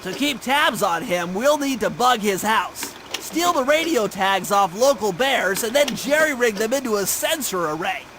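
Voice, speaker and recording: A man's voice: sits high, animated, moderate pace, hardly any pauses, noisy background. A man voicing a brainy, nerdy mission planner in a video game, with precise, wordy, formal phrasing. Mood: businesslike, urgent.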